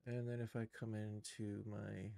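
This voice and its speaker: Male tutorial streamer, mid-pitched with gravelly fry, close dry sound, muttering through each step casually. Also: level tone, measured pace, dark tone, no room sound. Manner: thinking aloud, muttering short step-by-step remarks. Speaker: game developer streaming a tutorial. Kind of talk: casual screen-share walkthrough, narrating own steps.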